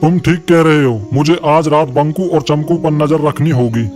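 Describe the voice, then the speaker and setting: A man's voice: fairly high pitch, moderate pace, darkish tone, soft background music, natural voice. A man voicing a troubled male animal who guards the forest against thieves, in a cartoon story. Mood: worried. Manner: earnest, troubled. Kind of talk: cartoon voice acting.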